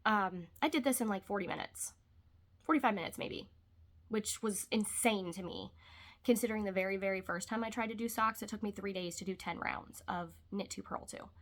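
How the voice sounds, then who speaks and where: A young woman talks casually from her couch at home, her voice stuffed up with congestion. She rambles and trails off into softer asides, at an ordinary mid pitch. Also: fairly quick. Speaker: vlogger. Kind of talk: casual chat.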